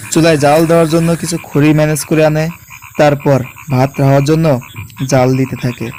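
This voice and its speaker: A man narrates a scripted horror story. His voice sits a little high, over faint background noise. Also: darkish tone.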